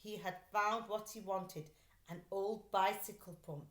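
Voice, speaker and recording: A woman reading a children's storybook aloud like a teacher, in an expressive, deliberate way. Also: mid-range voice. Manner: animated, deliberate storytelling delivery. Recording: roomy, echoey sound.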